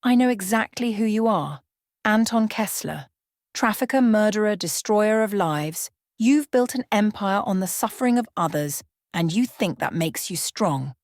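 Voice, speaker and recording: A woman voicing a serene young female vampire who speaks softly and calmly with a hidden edge. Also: mid-range voice, normal speed, no room sound, clean studio sound, voice pushed up, natural voice. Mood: stern. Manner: soft, calm, almost playful.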